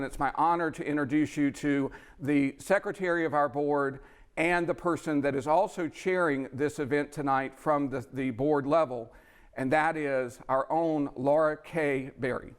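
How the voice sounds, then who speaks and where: A man hosting a live fundraiser as emcee, speaking in an emphatic, effusive way with speech-like phrasing. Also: on the high side. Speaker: event emcee.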